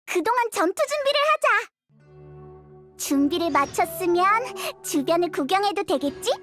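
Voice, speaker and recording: A woman's voice: extremely high pitch, expressive, normal speed, a bit bright, faint music behind. A woman voicing a small, loyal, childlike companion creature in a video game, with a bubbly, eager manner. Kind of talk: video game character dialogue.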